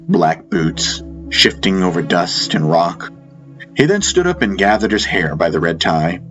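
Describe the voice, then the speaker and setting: A man's voice: low voice, fairly bright tone. A man narrating a dramatic first-person audiobook story. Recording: music behind the voice. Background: music.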